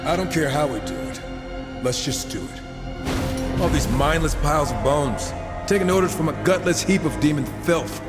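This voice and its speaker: A cocky, wisecracking horseman played by a man with a fairly high voice and a flippant, casual delivery. It is video game cutscene acting, in long hall reverb with music behind. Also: animated, normal speed, natural voice. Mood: disdainful, restless. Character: wisecracking irreverent gunslinger horseman, cocky yet caring.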